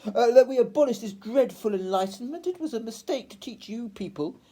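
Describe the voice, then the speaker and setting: A man's voice: extremely high pitch, expressive, brisk, mellow, pitched above the performer's own voice. A man playing a tall, languid, foppish aristocrat with an affected drawl in a told story.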